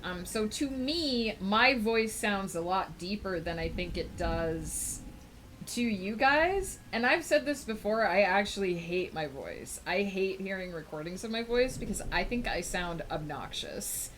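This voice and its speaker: A woman livestreamer in casual chat with her viewers, rambling thoughtfully with many fillers and brightening up to greet people in chat. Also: mid-range voice, animated, unhurried, slight background noise. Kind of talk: casual chat with a live audience.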